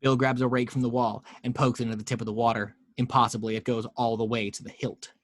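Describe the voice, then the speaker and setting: A man's voice: medium pitch, flat delivery, fast, no room sound. A man narrating screenplay action and scene directions as the reader in a scripted table read.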